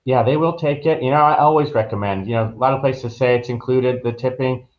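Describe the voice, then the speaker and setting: A man's voice: medium pitch, fairly even intonation, a little fast. An American man speaking as a travel agent guest on a podcast, explaining things in an informal, conversational way. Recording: dull, lo-fi online call audio.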